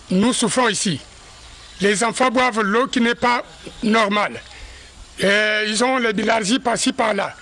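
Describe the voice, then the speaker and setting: A man serving as a neighbourhood chief speaks in a high, bright voice. He talks haltingly, stopping often with fillers. He is interviewed outdoors in the street. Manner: halting, with many pauses and fillers.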